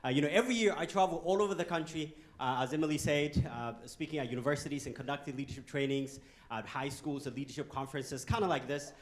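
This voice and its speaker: A man from Ethiopia, giving a joking, animated motivational talk to a youth audience in a conference hall and throwing questions at the crowd. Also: on the high side, quick pace. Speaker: guest speaker.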